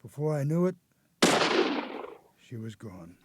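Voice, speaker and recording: An actor playing a haunted old grandfather, an escaped convict telling his story haltingly in a radio drama. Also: mid-range voice, sing-song. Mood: haunted, agitated. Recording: noticeable background noise.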